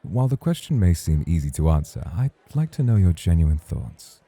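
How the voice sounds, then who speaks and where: A man's voice: deep voice, big pitch swings, moderate pace, warm tone, husky, no echo, full character voice. A man acting in an ASMR roleplay as a suave, teasing club host, formal and flirtatious in his way of talking. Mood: courteous, probing.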